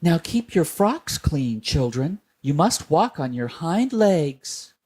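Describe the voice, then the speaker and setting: A man's voice: a little high, big pitch swings, takes it slowly, darkish tone, slightly rough, voice pushed up, exaggerated voice. A man reading the part of a prim mother cat who scolds her kittens. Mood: scolding. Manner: scolding, indignant.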